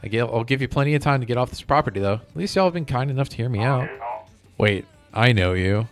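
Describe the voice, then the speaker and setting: A man's voice: medium pitch, expressive, fast talker, close to an everyday voice. A man voicing a stubborn corporate robot land developer from a video game, with a drawling, folksy, self-important manner, over music. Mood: stern, warning. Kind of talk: reading video game villain dialogue aloud.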